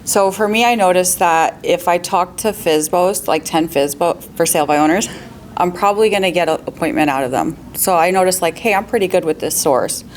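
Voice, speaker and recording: Woman on a panel giving real estate tips, upbeat, laughs a lot, lowish voice, faint hiss behind. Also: brisk. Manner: upbeat and practical, laughs often, asks the audience. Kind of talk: panel discussion, giving advice.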